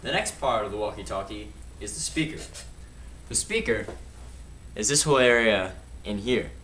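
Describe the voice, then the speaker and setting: Echoey young man explaining, slightly low voice. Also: lively intonation, moderate pace, a bit bright. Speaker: student presenter. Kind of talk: explanatory video presentation. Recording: echoey room, distant mic, faint noise.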